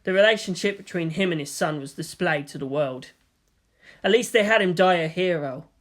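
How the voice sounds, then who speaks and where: A woman's voice: on the low side, moderate pace. A woman reading a story aloud as dramatic scripted narration. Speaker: fan fiction reader.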